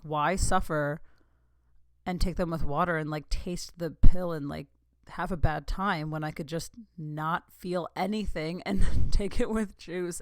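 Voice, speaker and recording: A woman's voice: low voice, gravelly, no room sound, clean studio sound. A woman in her thirties hosting her own podcast, chatting in a rambling, giggly way with lots of asides. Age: 30s. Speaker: podcast host. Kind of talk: casual solo podcast chat.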